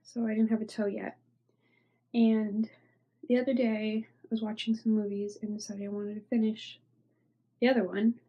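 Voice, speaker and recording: A congested young woman talks through her knitting projects in a loose, rambling way, with lots of "like" and "um". Her voice sits a little high but sounds mellow and dark. It is husky, and she keeps trailing off into near-whispers. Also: flat delivery, moderate pace, pauses often, highs cut off. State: stuffy, congested. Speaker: knitting podcast host. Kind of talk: casual show-and-tell chat.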